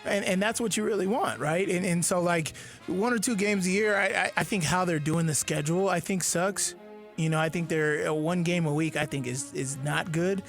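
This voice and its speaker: A man co-hosting a sports radio show, talking in halting, repetitive bursts and giving blunt opinions. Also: sits high, gravelly, fairly bright tone, faint music behind. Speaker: fill-in radio co-host. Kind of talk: casual sports radio chat.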